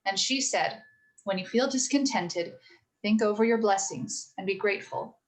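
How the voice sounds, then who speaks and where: A woman playing a gentle, earnest mother of four girls, telling stories in a measured, sincere way for a radio play rehearsal. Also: medium pitch, natural voice, low-quality recording. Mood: gently instructive. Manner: measured, sincere moral storytelling.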